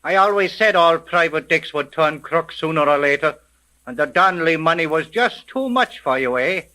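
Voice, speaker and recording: A suspicious homicide cop from old-time radio crime drama, high-pitched and sharp. He barks sneering, slangy sarcasm like a hard-nosed bully. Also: animated, moderate pace. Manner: barking, sneering, slangy tough talk. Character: brash, sarcastic, suspicious male police homicide sergeant. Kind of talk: 1930s radio crime acting.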